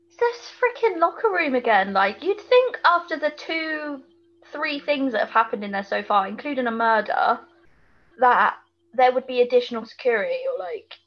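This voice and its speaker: British female podcast co-host, higher voice, colloquial and opinionated, casual recap style, slightly dull lo-fi sound. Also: lively intonation, moderate pace. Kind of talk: casual chat, TV episode recap.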